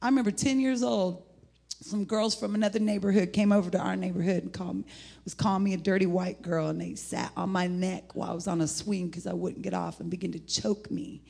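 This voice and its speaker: A middle-aged woman with an American accent and a husky, gravelly voice, preaching an informal, rambling sermon in an animated, folksy way. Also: on the low side, fairly quick. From United States (Indianapolis, Indiana). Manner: animated, rambling, folksy, breaking into laughter and exclamations. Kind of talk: informal sermon with personal testimony.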